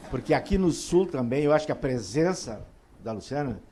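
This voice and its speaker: A man moderating a conference panel, halting and repeating words as he talks. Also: a little high, expressive, darkish tone, slight background noise. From southern Brazil. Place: large auditorium stage.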